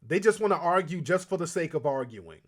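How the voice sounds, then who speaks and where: A man's voice: fairly high pitch, expressive, a little fast. A man hosting a call-in show, talking bluntly and emphatically to callers. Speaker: host.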